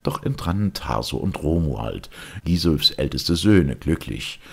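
Male storyteller, very deep, creaky and gravelly, measured expressive delivery, scripted legend narration.

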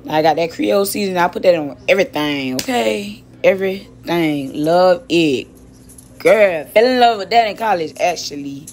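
Young woman cooking vlogger, lowish voice, slangy running chatter, phone on the kitchen counter. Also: normal speed, darkish tone. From Miami. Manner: chatty, slangy, repeats words, talks while cooking. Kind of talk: casual cooking vlog chat. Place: home kitchen.

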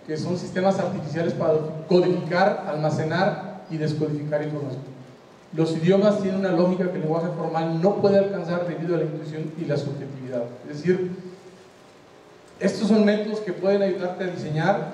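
A man's voice: on the high side, mellow, a little hiss or hum. An older man with a Mexican Spanish accent giving a conversational design lecture to an audience, often checking they follow. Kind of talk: conference lecture. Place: large hall with seated audience. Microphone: handheld mic. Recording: roomy hall echo from far off.

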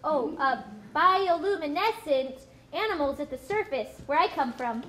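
Naive young whale puppet, female actor: extremely high, exclamatory, live recording with faint noise. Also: animated, measured pace, fairly bright tone. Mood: curious. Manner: wide-eyed, hesitant exclamations.

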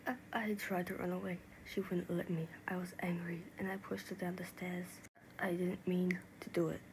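A woman with an Australian accent voicing a guilt-ridden teenage boy, halting and subdued, in a breathy, nasal whisper for an amateur drama. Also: on the low side, level tone, voice pushed down, lightly acted. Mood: remorseful, anguished. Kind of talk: amateur fan voice acting. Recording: noticeable background noise.

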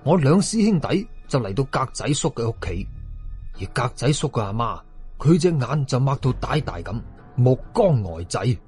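A man's voice: mid-range voice, very animated, moderate pace, darkish tone, soft background music. A man with a Hong Kong Cantonese accent narrating a horror story dramatically, as an audio storyteller.

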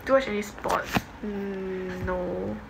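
A woman's voice: medium pitch, little pitch movement, slow pace, vocal fry. Young Singaporean woman, noisy phone recording. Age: young adult. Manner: informal, offhand, slangy. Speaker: livestream host. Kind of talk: casual livestream chat, reading and answering comments. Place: home bedroom, indoors. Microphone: phone at arm's length.

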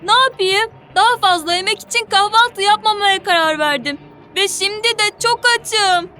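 A small, good-natured cartoon sea creature, extremely high and bright. The delivery is earnest and expressive, that of an excitable but careful youngster in a children's animation. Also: female voice, moderate pace. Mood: eager. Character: excitable, kind-hearted, cautious young yellow sea creature.

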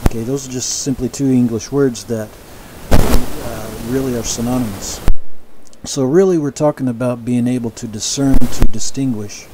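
Man teaching scripture over a steady hiss. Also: medium pitch. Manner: measured, explanatory, with frequent restarts and asides. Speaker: Bible teacher. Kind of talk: sermon-style Bible lecture.

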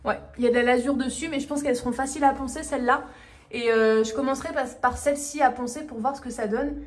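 Chatty higher-voiced Frenchwoman in an echoey room. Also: steady tone, fast talker. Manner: chatty, informal. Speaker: home renovation vlogger. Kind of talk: casual house tour narration. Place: empty rooms of an old house. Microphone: handheld phone or camera. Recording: echoey empty rooms, some background noise.

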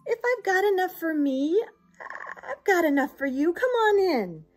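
A woman's voice: very high voice, big pitch swings, measured pace, faint music behind, voice pushed up, full character voice. A woman voicing an exasperated but hospitable young boy in a storybook, with dramatic dismay. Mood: resigned welcoming.

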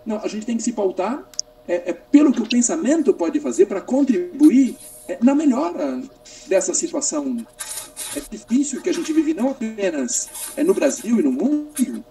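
High-pitched Brazilian man, halting and searching. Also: very animated, warm tone, faint music behind. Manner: halting, searching for words, repetitive. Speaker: academic discussant. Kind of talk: extended philosophical question in online panel. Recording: distant mic, slightly noisy video call.

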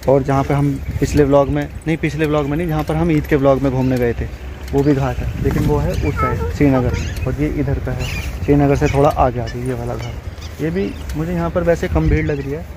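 A man's voice: medium pitch, fairly even intonation, a little fast. A man in his thirties vlogging casually to his viewers, joking and chatty, filmed outdoors by a river on a phone at arm's length. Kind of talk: casual travel vlog to camera. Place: outdoors by a river and on a bridge in hills. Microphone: phone held at arm's length. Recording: outdoor noise from passing traffic.

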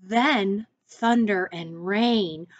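A middle-aged American woman reading a picture book to young children as their teacher, expressively, with deliberate instructing asides. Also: mid-range voice, highs cut off. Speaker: first-grade teacher. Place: small room indoors, seated at a desk.

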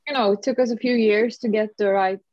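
A woman's voice: on the high side, fairly even intonation, a little fast, darkish tone, no echo. A woman in her thirties from Finland, a native Swedish speaker with a Finland Swedish accent, chatting casually as a singer guest on a video call, with many fillers. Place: home room, indoors. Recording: dull lo-fi video call audio.

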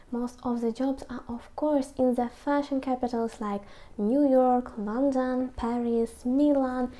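High, bright-less young woman's voice, mellow. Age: 20s. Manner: non-native English phrasing. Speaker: YouTube host. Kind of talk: scripted advice to camera. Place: small quiet room at home.

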